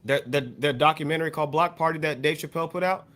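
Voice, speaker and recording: An American man taking part in a casual podcast panel, talking in a measured, agreeable way. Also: fairly high pitch, a bit bright.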